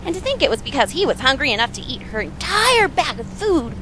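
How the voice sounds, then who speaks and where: Woman as a lively, blunt young demon slayer. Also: a little fast. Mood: amused scorn. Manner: sarcastic, scolding. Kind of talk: amateur audio drama acting. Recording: clearly noisy convention recording, background rumble.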